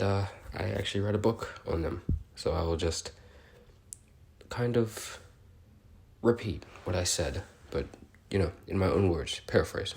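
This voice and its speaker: A man's voice: low voice, creaky. A man playing an awkward, curious, bookish researcher recording an audio log, explaining in a hesitant, rambling, politely apologetic way. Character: male researcher logging species notes, awkward, curious, bookish. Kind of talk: in-character audio log, semi-improvised explaining.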